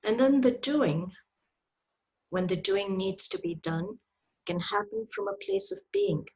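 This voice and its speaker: A woman leading a guided meditation in a soft, breathy voice, with gentle, deliberate phrasing and long pauses, heard over a video call. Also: mid-range voice, sing-song, lo-fi sound. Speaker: meditation teacher leading a yoga nidra. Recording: video call audio with clicks.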